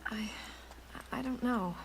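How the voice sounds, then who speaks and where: A woman's voice: fairly high pitch, slow pace, a little hiss or hum, low-quality recording. An actress playing a guarded young woman on an urgent quest in a video game, terse and defensive. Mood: guarded, insistent.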